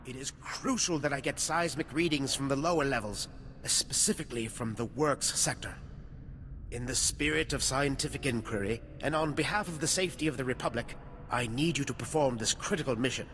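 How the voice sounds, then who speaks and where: A man's voice: medium pitch, fairly quick, fairly bright tone, lightly acted. A man playing a self-important, fame-hungry scientist in a video game, with a formal, verbose, pompous manner. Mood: pompous, eager. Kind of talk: video game character dialogue. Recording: reverberant hall with background noise.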